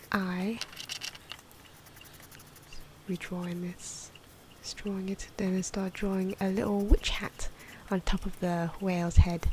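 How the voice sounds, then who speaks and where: A soft-spoken woman giving ASMR drawing commentary, often slipping into a breathy whisper, with hesitant, unhurried phrasing. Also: medium pitch. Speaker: ASMR video creator narrating own drawing. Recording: noisy, pencil scratching and ambient sound. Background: pencil and pen noises, outdoor wind.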